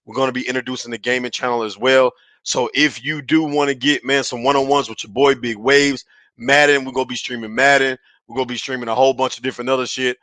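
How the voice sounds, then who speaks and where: A man hosting a casual sports podcast, his voice raspy and hoarse from yelling, talking in a hyped, slangy way on a headset mic. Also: medium pitch, steady tone, fast talker, no room sound. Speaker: podcast host.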